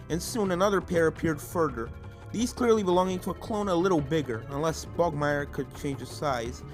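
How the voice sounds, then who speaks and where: A fan-fiction reader narrates an adventure horror story with drama. The voice is mid-pitched and sits over video game music.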